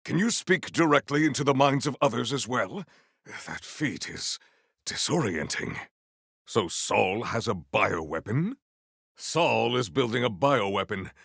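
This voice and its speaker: Grave theatrical warrior, slow and gravelly. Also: male voice, medium pitch, a bit bright, dry recording, studio quality, heavily acted. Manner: formal, archaic, theatrical diction. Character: grave, honour-bound male warrior, wry agent of vengeance. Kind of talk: video game character voice lines.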